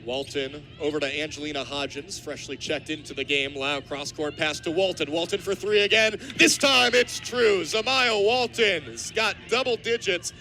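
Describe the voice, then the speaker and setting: Young guy doing live hoops radio play-by-play, higher bright forceful voice. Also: normal speed, few pauses. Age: 20s. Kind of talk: live basketball play-by-play commentary.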